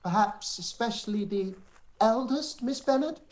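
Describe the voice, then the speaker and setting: A woman playing a pompous, obsequious male clergyman in a period radio play, halting and formal. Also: on the high side, lively intonation, a little mellow. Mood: flattering. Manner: halting, self-satisfied, formal.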